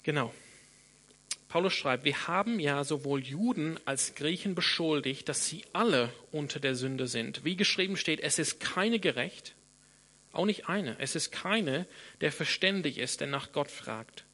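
A man's voice: fairly high pitch, animated, measured pace, pushed and bright. A man in his thirties, a pastor from Australia whose first language is English, preaching a sermon in German with an Australian accent, often restarting and repeating words as he thinks aloud.

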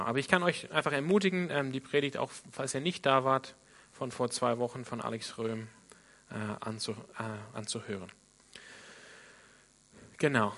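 A man from Sydney, Australia preaches to his congregation in an informal way. His voice is bright and clear in the midrange, and he pauses often with fillers while he looks for the right German word. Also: medium pitch. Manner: many hesitations and fillers, searching for German words. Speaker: pastor. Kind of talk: sermon.

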